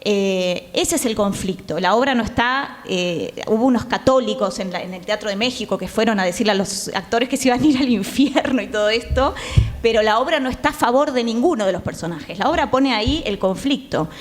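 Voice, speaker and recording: A woman's voice: fairly high pitch, a little fast. Hesitant Argentine woman in an echoing hall. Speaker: playwright, panel guest. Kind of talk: conversational panel discussion. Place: theatre, live panel. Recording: reverberant theatre hall.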